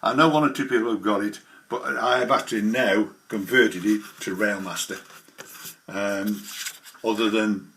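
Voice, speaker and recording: A man with a slightly low, forward, bright voice. He presents like a hobbyist showing his screen, casually explaining as he goes. His delivery wanders and halts. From the English Midlands. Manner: rambling, halting explanations. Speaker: hobbyist presenter demonstrating software.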